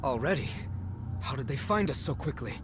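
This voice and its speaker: An actor playing an agitated, scornful conspirator leader in a video game, speaking in an urgent, haughty way. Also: male voice, mid-range voice, expressive, fairly quick, loud music behind the voice, lightly acted. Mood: alarmed anger.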